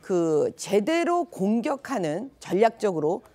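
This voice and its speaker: A woman's voice: mid-range voice, big pitch swings, unhurried, warm tone. A woman commenting on politics as a panelist on a television talk show, giving frank, dismissive critique.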